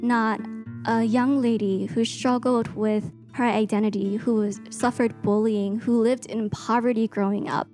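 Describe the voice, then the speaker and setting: A woman's voice: fairly high pitch, fairly even intonation, measured pace, a little mellow, faint music behind. Earnest young woman from Hong Kong. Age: young adult. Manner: earnest, reflective storytelling. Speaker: student. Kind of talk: personal testimony.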